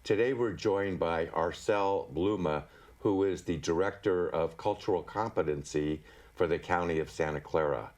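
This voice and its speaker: A man hosting a public-access TV interview, asking his guest questions in a measured, friendly way. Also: deep voice, a little mellow. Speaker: host.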